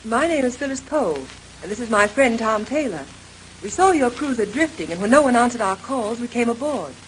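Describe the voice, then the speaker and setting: An actress playing a capable, composed young woman detective in a 1930s-style radio mystery, questioning people crisply and politely. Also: fairly high pitch, animated, normal speed, lightly acted. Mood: composed, inquisitive. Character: brisk, capable young female amateur detective, composed and inquisitive. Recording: noisy vintage radio transcription.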